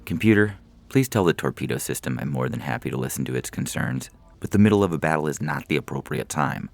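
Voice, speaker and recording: A man voicing an exasperated, commanding female starship captain who demands and yells in a sci-fi comedy audio story. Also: rumbling low, animated, quick pace, gravelly, exaggerated voice. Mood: exasperated, demanding. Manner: demanding, yelling.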